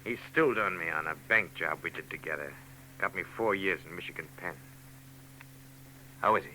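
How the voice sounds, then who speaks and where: A man's voice: deep voice, brisk, pushed and bright, slight background noise, poor audio. An actor playing a cold, taunting bald killer in a 1940s radio drama, sneering and full of tough-guy slang. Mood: menacing. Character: bald murderous male gunman, cold and taunting.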